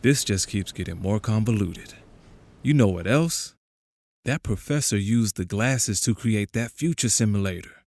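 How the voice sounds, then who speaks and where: A man's voice: lowish voice, expressive, unhurried, a little mellow, dry recording. A man playing a laconic, probing confidant in an audio drama, speaking in terse, clipped phrases. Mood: pensive. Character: laconic, probing male confidant who follows conspiracies. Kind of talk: audio drama, conversational dialogue.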